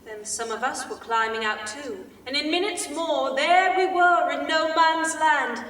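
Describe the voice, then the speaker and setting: Woman doing earnest young british soldier in reader's theater, highish voice, roomy hall. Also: a little slow, a bit bright. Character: young male British soldier writing home, earnest and wistful. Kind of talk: staged reader's theater, scripted letter narration. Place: large theater stage indoors. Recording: echoey stage, distant mic, noisy.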